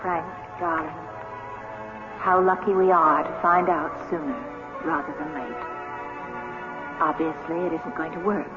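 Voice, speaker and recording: An actress playing a composed, gentle woman reading out her farewell letter in a measured radio drama style. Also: sits low, fairly even intonation. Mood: regretful, composed. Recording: tinny vintage radio, music behind.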